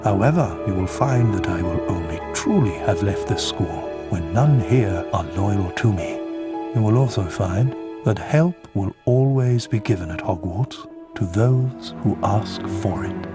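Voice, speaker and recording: A dignified old headmaster from a fantasy game. His low voice is calm, formal and wise. Loud music swells behind it. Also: a little slow. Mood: calm, resolute.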